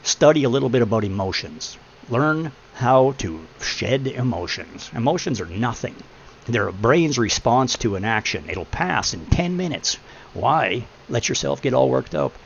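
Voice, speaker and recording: A deep-voiced middle-aged man giving blunt, preachy advice in a casual chat, swearing freely. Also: big pitch swings, moderate pace, vocal fry. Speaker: podcast host. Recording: muffled lo-fi with faint hum.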